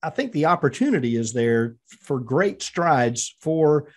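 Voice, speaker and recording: A man's voice: on the high side, lively intonation, measured pace, darkish tone. Rambling filler-heavy male podcast host. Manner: rambling, filler-heavy questions with many restarts. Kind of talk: casual interview hosting.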